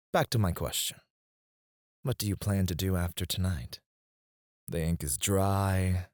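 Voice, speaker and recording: A man's voice: sits low, darkish tone, no echo, clean studio sound. A man playing an obsessive, possessive CEO in an ASMR roleplay, speaking in a smug, menacing and condescending way. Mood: courteous, probing. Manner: smooth-talking, condescending, drawn-out deliberate phrasing.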